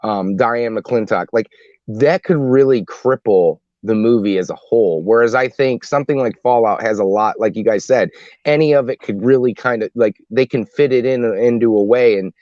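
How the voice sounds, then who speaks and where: A man's voice: mid-range voice, normal speed, darkish tone, dry recording. Man chatting casually, dry muffled audio. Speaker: podcast co-host. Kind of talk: casual panel chat about games.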